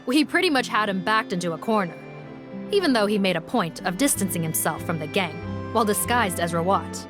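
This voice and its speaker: An actress voices a female police officer from a video game. She is measured and formal, reporting like a professional at a mid-range pitch. Music plays behind her. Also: expressive, natural voice. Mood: measured, firm.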